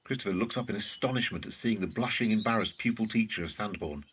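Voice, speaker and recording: A man's voice: fairly low pitch, fast, a bit bright, husky. A man reading scripted narration for an audio drama. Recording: faint ambient background noise.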